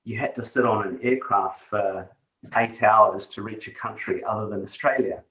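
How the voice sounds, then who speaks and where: A man's voice: fairly low pitch, steady tone, normal speed, fairly bright tone, muffled recording. Reflective New Zealand man, roomy sound. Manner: reflective, many fillers and restarts. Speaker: guest, tech executive. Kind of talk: conversational interview. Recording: echoey room, distant from mic.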